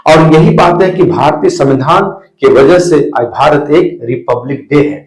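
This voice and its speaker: Male explainer presenter, slightly high pitch, emphatic teaching delivery, roomy echoing sound. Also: unhurried. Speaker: video presenter. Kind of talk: explainer talk to viewers. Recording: echoey room.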